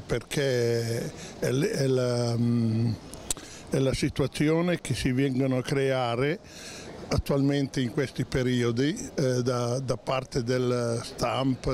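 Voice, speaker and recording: An older man answering a street interview at night, halting and stumbling over his words, into a handheld mic. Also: medium pitch, darkish tone, slight background noise. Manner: halting, many fillers and restarts. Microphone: handheld mic held by interviewer.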